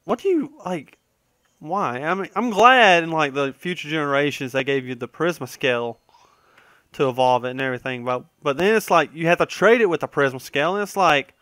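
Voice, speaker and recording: Clear-voiced man rambling over a game. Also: fairly high pitch, animated, a little fast, bright, forceful tone, no echo. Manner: conversational, digressive, often trailing off and correcting himself. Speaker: gaming video host. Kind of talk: casual, rambling let's-play commentary.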